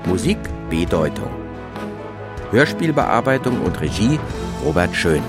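A man's voice: sits low, big pitch swings, unhurried, over music. A man reading out the title and credits of a radio play as a scripted announcement.

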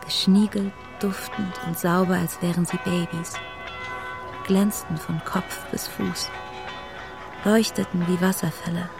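A woman's voice: on the low side, steady tone, unhurried, gravelly. An actress playing an anxious, tender working mother in a radio drama, hesitant and wistful, with music underneath.

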